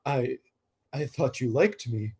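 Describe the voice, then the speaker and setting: Man playing an awkward, husky, animated young god. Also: mid-range voice, takes it slowly, exaggerated voice. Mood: hurt, confused. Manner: hesitant, earnest. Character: Alexander, awkward, lovestruck, hurt young god. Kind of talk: staged play reading.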